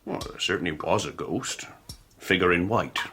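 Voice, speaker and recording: Very deep-voiced old English butler. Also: male voice, moderate pace, sharp tone, breathy edge, full character voice. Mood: gentle, deferential. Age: older. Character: ageing, deferential, kindly Victorian household butler. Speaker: actor in a radio drama. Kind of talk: 1990s British radio drama acting.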